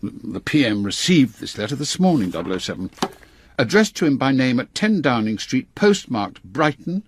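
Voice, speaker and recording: A man playing a brisk, authoritative older British intelligence chief in a radio drama, with an English accent and a clipped, commanding delivery. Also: mid-range voice, sing-song, a little hiss or hum. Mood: grave, brisk.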